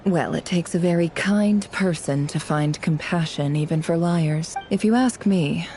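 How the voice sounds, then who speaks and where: A guarded young spy speaks in a low, dark, mellow voice, cool and measured. Her tone broods with bitterness, and faint ambience lingers behind her. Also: a little hiss or hum. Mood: brooding. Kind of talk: video game dialogue.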